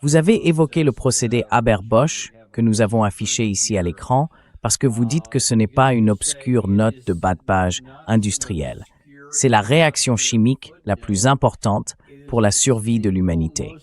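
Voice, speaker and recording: A man's AI-generated voice dubbing an interview host, asking questions in a measured, inquisitive way. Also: lowish voice, animated, a little mellow. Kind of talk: dubbed interview questions read by AI voice.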